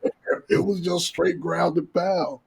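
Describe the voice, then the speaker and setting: A man with a husky voice telling a casual podcast story in slangy, profane talk, acting out the dialogue. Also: sits high, fairly even intonation, takes it slowly, crowd noise. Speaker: podcast co-host.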